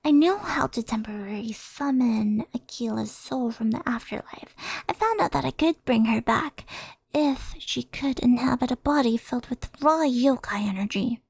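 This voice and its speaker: A woman's voice: fairly high pitch, moderate pace, darkish tone, no room sound. A woman voicing an earnest, secretive yokai sorceress in an ASMR roleplay, speaking in a hesitant, confessional, whispery voice. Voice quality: breathy, creaky, whispery. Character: earnest, secretive female yokai sorceress, devoted to her friend.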